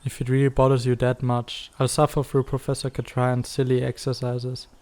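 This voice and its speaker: A laid-back teenage schoolboy acted by a man in a comic drama. His voice is soft-edged and dark, and his delivery stays fairly level. He sounds dry and sarcastic, a skeptic who still cares. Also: mid-range voice, monotone, moderate pace, close to an everyday voice. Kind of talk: fan dub comic drama acting.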